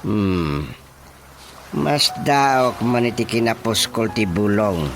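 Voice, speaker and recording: A man with a Filipino accent playing an older, laconic, evasive village chairman in a radio comedy-drama, with grunting, unhurried replies. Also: lowish voice, animated, slight background noise. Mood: calm, reflective.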